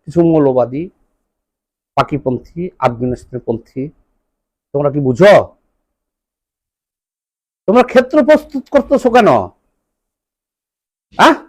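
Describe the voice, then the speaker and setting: Bangladeshi guy ranting politics, voice way up high and swinging around, scolding, emphatic. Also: very animated, a little slow, long pauses, no echo. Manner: emphatic, scolding, with rhetorical questions. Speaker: political commentator. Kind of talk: impassioned political monologue.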